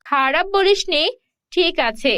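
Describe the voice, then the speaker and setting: A woman's voice: extremely high pitch, expressive, measured pace, a bit bright, dry recording, clean studio sound, exaggerated voice. A woman playing a poor, proud village mother in a cartoon, firm and chiding but caring. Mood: scolding.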